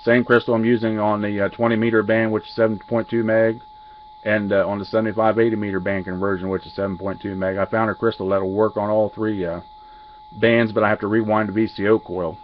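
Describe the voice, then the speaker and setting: A man giving an unscripted technical walkthrough as a radio hobbyist, halting and pausing mid-sentence. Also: fairly low pitch, little pitch movement. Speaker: amateur radio hobbyist demonstrating equipment. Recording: dull lo-fi audio, faint radio hiss.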